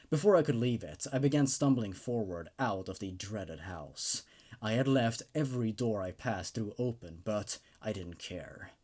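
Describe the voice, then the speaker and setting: A man's voice: lowish voice, darkish tone, slightly rough. A man hosting horror story readings, speaking in a dramatic, emphatic way as he narrates and gives his take. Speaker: host narrating and reviewing horror stories. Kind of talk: dramatic horror narration and casual enthusiastic review.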